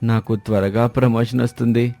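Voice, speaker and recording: A man's voice: medium pitch, level tone, moderate pace, warm tone, faint music behind, lightly acted. A man voicing a lazy, arrogant, newly rich man, pompous and demanding, in a story. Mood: wheedling.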